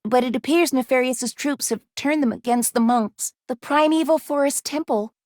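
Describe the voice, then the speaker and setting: A woman acts out a young female robot companion from a video game, with a notably high voice in a dry, close recording. The robot is earnest, polite and a little anxious, choosing each word formally and precisely. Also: normal speed, a little mellow, no echo, close to an everyday voice. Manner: precise, formal speech without contractions. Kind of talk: video game character voice lines.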